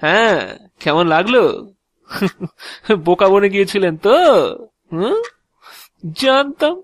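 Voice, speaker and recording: A man acting an excitable, chatty office clerk in an audio drama, gushing sarcastic flattery with stammered repetitions. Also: sits high, slow pace, dry recording, exaggerated voice. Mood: excited, teasing.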